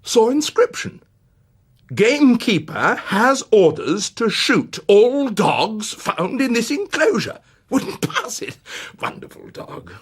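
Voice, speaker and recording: A man's voice: very high voice, wildly swooping pitch, slow, breathy edge, pitched above the performer's own voice, heavily acted. An actor playing a loquacious, boastful male stranger who talks in jaunty, abrupt, clipped phrases. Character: loquacious, breezy male stranger, boastful coach-travelling tall-tale teller.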